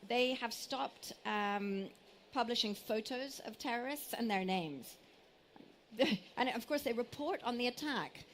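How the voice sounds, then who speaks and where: A woman on a live discussion panel, explaining her points in a measured way. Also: a little high, animated, a little hiss or hum. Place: conference stage.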